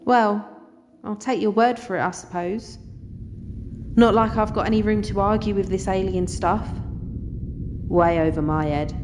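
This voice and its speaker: A woman's voice: mid-range voice, unhurried, pauses often, background noise, lightly acted. Woman playing a blunt teasy space crew gal, takes her time, echoey hall reverb, audio drama. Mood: wry teasing. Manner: wry, colloquial, teasing. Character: blunt, teasing, easygoing starship crewwoman. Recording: long hall reverb, music behind.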